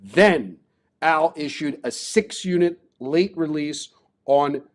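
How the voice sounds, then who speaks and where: Host, male, Baltimore accent, slightly raised pitch, talky promo patter. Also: slow. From Baltimore, Maryland. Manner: chatty, promotional, rambling. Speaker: show host. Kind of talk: casual sports betting talk.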